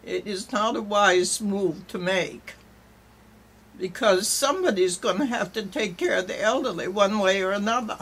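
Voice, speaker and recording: An earnest speaker giving measured testimony about care for the elderly. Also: medium pitch, a bit bright, breathy edge. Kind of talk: spoken testimony.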